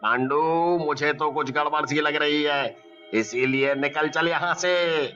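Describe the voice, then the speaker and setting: A man's voice: a little high, takes it slowly, sharp tone. A man playing a frightened, hapless villager in a cartoon, with a hesitant, whining, exaggerated delivery. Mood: wary. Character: frightened, hapless male villager lured by a witch. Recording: dull lo-fi audio with music.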